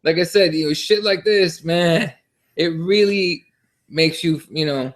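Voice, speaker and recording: Man, slightly high voice, podcast co-host, casual, halting, repetitive, sweary. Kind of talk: casual sports talk.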